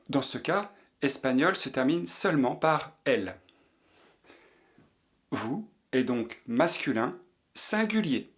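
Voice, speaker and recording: A man teaching a language lesson, patiently repeating each example sentence for learners to copy. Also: mid-range voice. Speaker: French teacher. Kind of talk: slow French lesson for learners.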